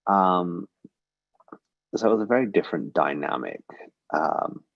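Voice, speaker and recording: Man in his forties, very low husky voice, reflective testimony, dull dry livestream sound. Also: expressive, a little slow, no room sound. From Britain. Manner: earnest, reflective, with frequent um and uh. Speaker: guest speaker. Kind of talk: personal testimony talk. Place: synagogue livestream.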